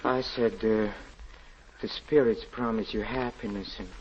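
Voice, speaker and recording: An actor playing a haunted, grieving European husband confessing a tragedy, halting, stammering and pleading in theatrical 1940s radio drama style. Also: male voice, lowish voice, steady tone, close to an everyday voice. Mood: tender, coaxing. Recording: muffled, noisy old radio recording.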